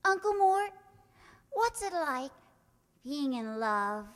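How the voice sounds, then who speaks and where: A woman's voice: high voice, big pitch swings, slow pace, fairly bright tone, full character voice. A woman playing a dreamy, affectionate, innocent young niece, with a wistful, earnest, theatrical delivery in old-time radio comedy style. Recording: echoey hall with long reverb.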